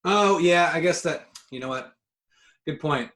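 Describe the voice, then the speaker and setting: A man co-hosting a podcast, talking in an enthusiastic, informal way as he pitches his ideas. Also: a little slow, fairly bright tone. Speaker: podcast co-host. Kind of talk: casual podcast chat.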